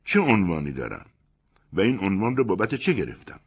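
A man's voice: deep voice, sing-song, husky, full character voice. A man voicing a proud, touchy middle-aged man who talks in a haughty, declaiming way.

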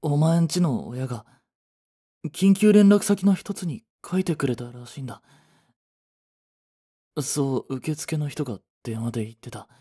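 A young office worker, played by a man, carries the regret of a proud boyfriend in a romantic audio drama. The voice is soft and husky, with a dark, mellow tone. The delivery is unhurried and introspective. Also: mid-range voice. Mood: shocked, anguished.

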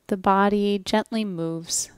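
A woman leading a guided relaxation as a yoga nidra instructor, speaking gently and soothingly with long pauses. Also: mid-range voice, fairly even intonation, clean tone, dry recording, studio quality.

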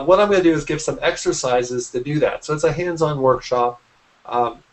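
A man's voice: medium pitch, poor audio. A man in his forties speaking as an interview guest in a casual conversation on a video call, often rephrasing himself mid-sentence. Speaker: interview guest, artist and art teacher. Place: small indoor room at home. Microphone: computer mic on a video call.

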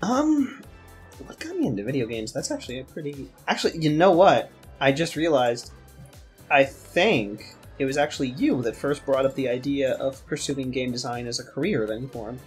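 A man's voice: medium pitch, expressive, moderate pace. Young man chatting over music. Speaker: podcast co-host, game designer. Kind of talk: casual podcast chat. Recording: music behind the voice. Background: background music.

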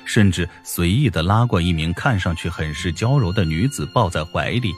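A man's voice: low voice, animated, normal speed. A man narrating a fantasy novel as a scripted audiobook. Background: music behind the voice.

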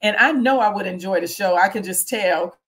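A middle-aged woman hosts an interview over a video call, her voice at an ordinary mid pitch. She speaks in a warm, encouraging, supportive way to her guest. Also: brisk, husky. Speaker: interview host. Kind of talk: casual interview. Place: home room indoors.

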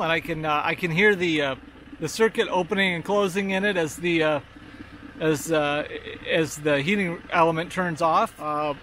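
A man in his thirties giving a casual hands-on gear demo, calm and matter-of-fact, with many uhs and restarts. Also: sits high, slight background noise. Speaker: vlogger testing gear. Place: outdoors by a lake, later inside a vehicle.

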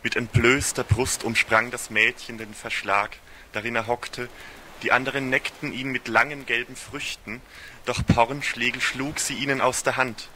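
A man's voice: medium pitch, steady tone, normal speed, sharp tone, a little hiss or hum. A man giving a scripted literary narration for a radio play in a solemn, ornate storytelling manner.

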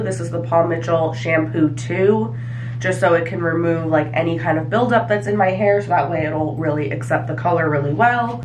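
Young female vlogger, congested and nasal, casual filler-heavy chat, slightly low voice. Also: background noise. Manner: chatty, lots of fillers. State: stuffy nose from allergies. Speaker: vlogger and hairstylist. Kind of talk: casual talk to camera. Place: small apartment, kitchen and bathroom. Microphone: handheld camera at arm's length or tripod.

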